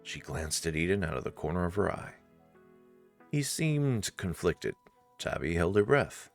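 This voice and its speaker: A man's voice: deep voice, sing-song, unhurried, gravelly, soft background music. A man narrating a visual novel playthrough expressively, with casual asides.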